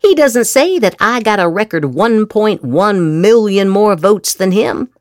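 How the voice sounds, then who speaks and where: A woman's voice: medium pitch, expressive, unhurried, darkish tone, no echo, clean studio sound. A woman podcast host reading political social media posts aloud dramatically, with theatrical, exaggerated inflection.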